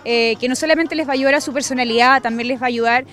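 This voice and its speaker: A woman's voice: high voice, quick pace, a bit bright, faint music behind. A middle-aged woman with a Chilean accent giving an interview outdoors as an event organizer. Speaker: pageant organizer. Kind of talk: on-camera interview. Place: outdoors on a lawn. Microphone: handheld reporter microphone.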